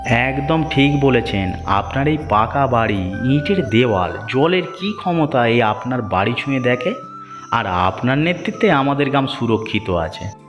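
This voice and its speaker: A man acts a boss's lackey in a cartoon fable, quick to flatter and to sneer. The voice sits at an ordinary male pitch. It rings in long reverb, slightly dull, over faint music. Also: natural voice. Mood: fawning agreement. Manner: sneering, scolding, obsequious to his boss. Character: mocking, flattering male henchman of village headman. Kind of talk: cartoon moral-story voice acting. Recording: muffled, echoing hall-like reverb, music behind.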